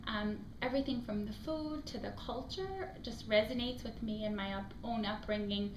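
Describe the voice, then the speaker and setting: A woman from Afghanistan with an American accent speaks as a school admissions director in an interview. She talks in an earnest, reflective way, often pausing on an um, her voice sitting a little high. She is recorded in an echoey room with clear background noise. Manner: earnest, reflective, frequent ums. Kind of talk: interview answers to off-camera questions.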